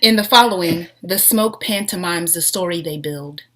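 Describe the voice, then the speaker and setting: A woman's voice: fairly low pitch, moderate pace, slight background noise, poor audio. A woman reading stage directions as scripted narration for a play.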